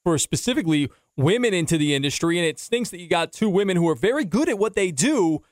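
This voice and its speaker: A man hosting a casual sports talk interview, talking in a halting, rambling way with repeated phrases. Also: sits high, sing-song, dry recording, studio quality. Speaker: podcast host and interviewer.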